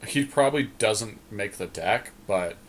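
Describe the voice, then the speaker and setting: A man co-hosting a podcast, giving casual game commentary as he deliberates aloud and hedges. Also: lowish voice, expressive. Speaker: podcast co-host. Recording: clearly audible background noise.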